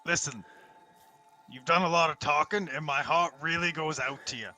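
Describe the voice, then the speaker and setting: Man playing a blunt adventurer, very bright voice. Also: fairly high pitch, moderate pace. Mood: impatient, sarcastic. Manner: blunt, dismissive. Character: blunt, impatient, unimpressed adventurer.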